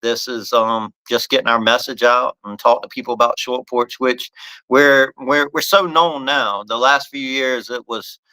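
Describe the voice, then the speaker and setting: A man with a bright, forceful tone and a smooth, crisp voice hosts a casual live podcast. He talks in loose, rambling runs, stumbling and restarting phrases as he goes. The sound is close and dry. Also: mid-range voice, steady tone, a little slow, dry recording, low-quality recording. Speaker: podcast host and bat company owner.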